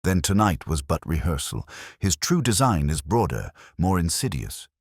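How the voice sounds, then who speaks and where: A man's voice: low voice, very animated, moderate pace, breathy edge, dry recording, studio quality, exaggerated voice. A man voicing an earnest, uneasy Victorian detective's companion, sober and questioning, in an audio mystery story. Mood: troubled realization.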